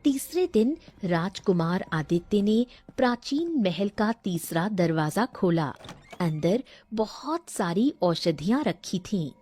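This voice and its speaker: A woman's voice: mid-range voice, sing-song, slow, mellow. A woman narrating a scripted fairy tale for a cartoon as a storyteller.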